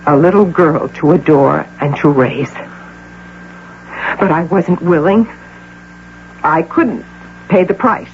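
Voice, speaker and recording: An actress playing a proud, anguished middle-aged businesswoman who clings to her fantasy, in impassioned, theatrical radio drama style full of rhetorical questions. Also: on the low side. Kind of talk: old-time radio drama acting. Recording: dull, noisy old radio broadcast.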